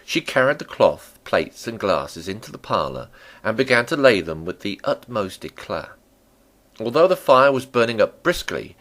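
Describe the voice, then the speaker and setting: Low, very expressive male storyteller. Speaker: audiobook narrator. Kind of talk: dramatic scripted narration.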